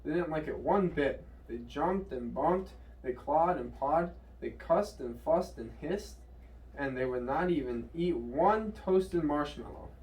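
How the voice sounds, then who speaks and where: A man's voice: a little high, expressive, a little slow, darkish tone. A man reading a children's storybook aloud. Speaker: storybook reader. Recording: distant mic, echoey room, faint noise.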